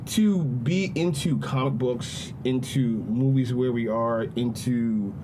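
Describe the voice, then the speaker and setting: A man from suburban Maryland chatting on a podcast, emphatic and animated, repeating himself for stress. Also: mid-range voice, takes it slowly, background noise. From suburbs of Prince George's County, Maryland. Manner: animated, emphatic storytelling with repetitions. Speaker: podcast guest. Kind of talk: casual podcast chat.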